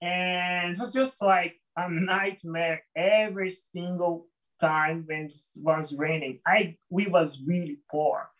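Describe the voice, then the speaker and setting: A man from Brazil with a Brazilian Portuguese accent, a guest telling his life story in an interview, halting and searching for words in English. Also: high voice, steady tone, fairly bright tone, distant mic.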